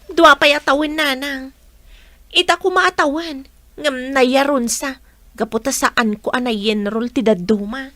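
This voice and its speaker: Earnest young Ilocano student, high voice, bright and forceful, dry studio sound, radio drama. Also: female voice, a little slow, no echo. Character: earnest young female college student daughter.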